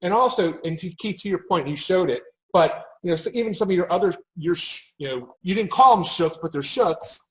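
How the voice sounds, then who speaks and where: Higher-pitched man explaining, often self-correcting. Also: animated, brisk, hardly any pauses, poor audio. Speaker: marketing expert on a panel call. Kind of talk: casual explanatory talk.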